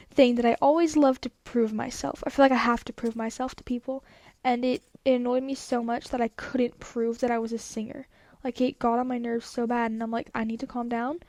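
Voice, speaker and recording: A woman's voice: on the high side, fairly even intonation, fairly quick, a little mellow, dry recording. A teenage girl telling a story in casual chat, rambling with lots of "like", slightly congested from a cold. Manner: rambling, many "like" fillers. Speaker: vlogger.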